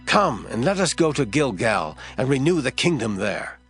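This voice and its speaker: A man's voice: mid-range voice, sing-song, moderate pace, a bit bright. An actor playing an old, gray-headed prophet who addresses his nation in a solemn, stern, declamatory way, as in a scripture drama. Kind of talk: dramatized scripture acting, oratorical. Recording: music bed behind the voice.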